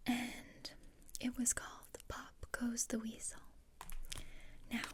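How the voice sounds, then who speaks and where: A scheming, macabre teenage girl, acted out by a woman for an ASMR roleplay. She speaks in a flat, deadpan whisper with very little movement in pitch. Her delivery is slow and measured, dryly relishing the gloomy and the morbid. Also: husky, exaggerated voice.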